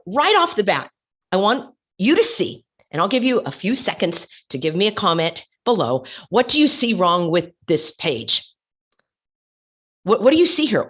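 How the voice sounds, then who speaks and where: A woman hosting an online commentary show, hoarse from laryngitis, talking passionately and hammering her points with repeated words. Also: medium pitch, a bit bright. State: losing her voice. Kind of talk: casual commentary while sharing her screen.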